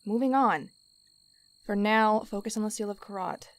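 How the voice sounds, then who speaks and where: A woman's voice: mid-range voice, normal speed, lightly acted. A woman acting in an audio drama as a tough heist crew leader who is curt, commanding and sardonic.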